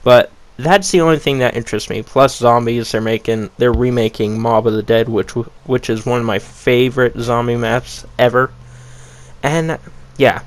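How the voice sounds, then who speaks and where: A man's voice: mid-range voice, no room sound. A young man ranking upcoming games in a casual vlog, blunt and rambling with a lot of swearing, his voice a little worn. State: losing his voice. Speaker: gaming YouTuber.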